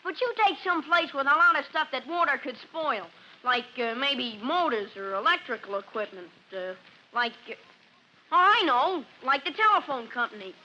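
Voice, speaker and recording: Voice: sits high, lively intonation, moderate pace, sharp tone, heavily acted. An actor playing a stubborn, argumentative schoolboy in an old radio drama. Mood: insistent. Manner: insistent, argumentative. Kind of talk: 1950s radio drama acting. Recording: old noisy 1950s radio recording.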